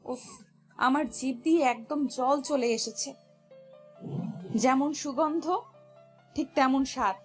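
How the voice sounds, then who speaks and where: Woman acting high-voiced, teasing young woman. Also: slow pace, pauses often. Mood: delighted. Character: teasing, playful young woman, older girl friend. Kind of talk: romantic audio story dialogue. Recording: music bed behind voice.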